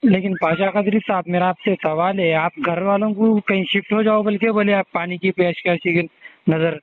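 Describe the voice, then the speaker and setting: High-pitched insistent man on a phone call. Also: steady tone, moderate pace. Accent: Hyderabadi. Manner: insistent, polite in address. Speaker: caller, local resident. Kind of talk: recorded phone conversation. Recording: muffled phone call, faint noise.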